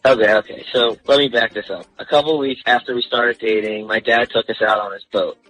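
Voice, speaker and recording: Young male caller, slangy storyteller, forceful bright tone, faint music bed. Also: medium pitch, steady tone, a little fast, no room sound, low-quality recording. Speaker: radio show caller. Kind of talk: casual storytelling on a call-in radio segment.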